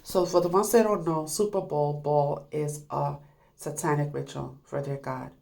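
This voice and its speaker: A woman's voice: deep voice, steady tone, normal speed, gravelly, mellow. A woman with a stuffy, congested voice from a cold, talking urgently and repetitively to her viewers. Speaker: online commentator. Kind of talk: casual monologue to viewers.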